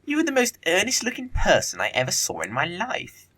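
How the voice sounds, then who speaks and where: A man acting a witty, teasing young English gentleman in an audio play of a period comedy, with an arch, theatrical delivery. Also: high voice, wildly swooping pitch, fairly quick, slightly rough, full character voice. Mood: triumphant, teasing.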